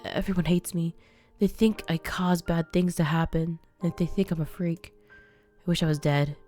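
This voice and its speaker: A woman voicing a hurt, despairing young outcast girl who talks quietly and pities herself. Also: on the low side, fairly even intonation, normal speed, soft background music, lightly acted. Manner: self-pitying, quiet.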